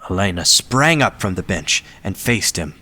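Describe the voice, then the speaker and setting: A man's voice: deep voice, big pitch swings, normal speed, bright, forceful tone, a little hiss or hum. A man reading scripted story narration as the narrator of an audio drama.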